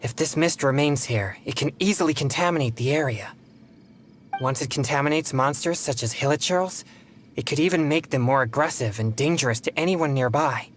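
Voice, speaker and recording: A man voicing an earnest, polite teenage boy exorcist in a video game, with formal, measured phrasing. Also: mid-range voice, slight background noise, lightly acted. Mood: alert, cautious.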